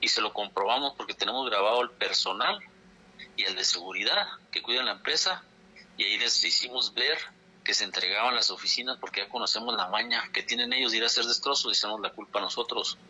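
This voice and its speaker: Man, indignant, insistent, husky, sharp bright tone, phone line. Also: fairly low pitch, fast. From Chihuahua, Mexico. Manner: indignant, insistent, repeats for emphasis. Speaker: dairy farmers' leader. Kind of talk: phone interview statement. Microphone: telephone.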